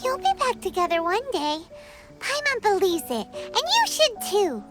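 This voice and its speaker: A woman voicing a tiny, excitable fairy companion in a video game, who talks about herself in the third person with a high, childlike voice. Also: a little slow, heavily acted. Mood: encouraging. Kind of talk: game voice acting. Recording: game audio with loud music underneath.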